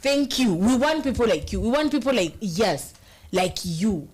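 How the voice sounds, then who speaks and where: A Kenyan woman co-hosting a casual live chat, speaking emphatically and quickly in mixed English and Swahili. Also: a little high, lively intonation, a little mellow, slightly rough. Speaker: co-host reading viewer comments.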